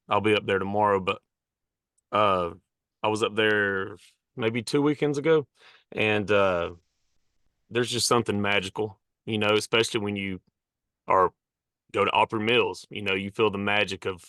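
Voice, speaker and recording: Man, Southern accent, low voice, dry close sound, friendly podcast host. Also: clean tone, no echo. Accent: Southern American. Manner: friendly, with steady short affirmations. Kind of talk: casual podcast interview.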